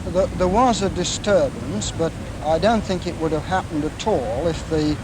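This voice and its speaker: High-voiced male alderman, noisy recording. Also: expressive, moderate pace. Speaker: alderman, committee chairman. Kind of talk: brief formal interview answers. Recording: noisy 1950s location recording.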